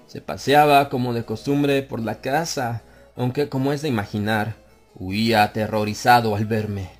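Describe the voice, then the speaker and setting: A man narrating a dark literary tale as an audiobook, in a grave, measured first-person delivery. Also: medium pitch. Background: soft music and ambient effects.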